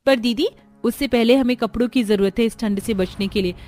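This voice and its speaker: A woman's voice: fairly high pitch, fairly quick, darkish tone, soft background music, close to an everyday voice. A woman voicing a kind, resourceful young village daughter-in-law in a cartoon story, earnest and caring. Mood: practical.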